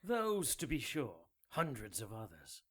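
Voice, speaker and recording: An actor playing a zealous, self-righteous male cleric in a radio drama, calm and condescending. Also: medium pitch, a little slow, husky, heavily acted. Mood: cold.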